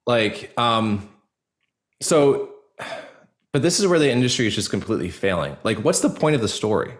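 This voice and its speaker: A man speaking casually and informally as an interview guest, in a halting way with many filler 'likes'. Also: medium pitch, big pitch swings. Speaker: actor, podcast guest. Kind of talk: casual personal interview talk.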